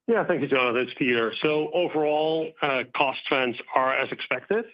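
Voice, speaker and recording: A man speaking as a company executive, answering on an earnings call in halting, deliberate phrases. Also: mid-range voice, no echo. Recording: dry, dull conference call audio.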